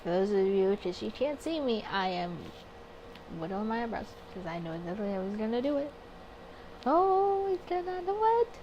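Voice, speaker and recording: A woman's voice: mid-range voice, very animated, moderate pace, a little mellow, highs cut off, a little hiss or hum. A woman hosting a visual novel playthrough, reading aloud and commenting to herself, sometimes stumbling over words. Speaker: gaming video host.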